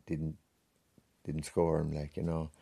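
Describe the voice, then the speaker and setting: A man's voice: very deep voice, completely flat delivery, a little slow, creaky, warm tone, breathy edge. A man in his eighties with a rural Wexford Irish accent, reminiscing in an interview as a former hurler. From County Wexford, Ireland. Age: 80s.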